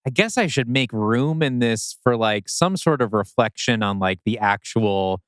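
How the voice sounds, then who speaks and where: A man's voice: mid-range voice, lively intonation, a little slow, dry recording, clean studio sound. A man chatting informally as a podcast co-host, talking through creative work. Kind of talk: casual chat about scriptwriting.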